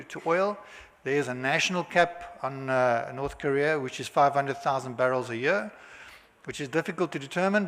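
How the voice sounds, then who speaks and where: A man with a South African accent giving a halting conference presentation, full of filler sounds and restarts. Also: mid-range voice, fairly bright tone. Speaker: conference speaker. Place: hotel conference hall. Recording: long hall reverb.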